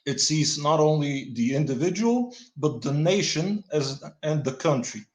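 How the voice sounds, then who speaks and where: A Bulgarian man reading a dense political text aloud, stumbling over words and adding deadpan asides. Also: fairly high pitch, measured pace, echoey room. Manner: stumbling, restarts words, deadpan wry comments. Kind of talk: halting read-aloud with dry asides.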